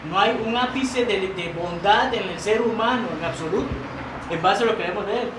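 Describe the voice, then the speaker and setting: Guy giving a sermon, pretty high voice, sounds far from the mic, lots of room noise. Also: normal speed. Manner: earnest, rising to emphatic repetition; reads quoted doctrine aloud, with restarts. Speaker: preacher. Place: church sanctuary. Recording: roomy church sound, mic at a distance, noisy.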